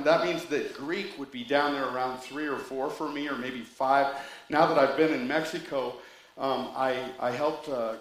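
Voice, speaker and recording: A man's voice: medium pitch, echoey room, low-quality recording. A man preaching a sermon with rambling asides and jokes, reading scripture and telling a story. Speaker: pastor.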